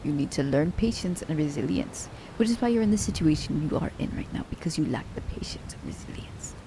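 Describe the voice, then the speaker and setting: A woman's voice: low-pitched, big pitch swings, brisk, vocal fry, noisy background, full character voice. A woman playing a sly, commanding assassin who teases her captive werewolf in an ASMR roleplay, with a haughty, teasing manner. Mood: cool, scheming.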